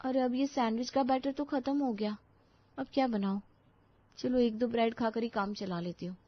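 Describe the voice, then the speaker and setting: A woman's voice: a little high, normal speed, dry recording, highs cut off, lightly acted. A woman voicing a young, eager new bride, polite and chatty in a dramatized family story. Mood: musing.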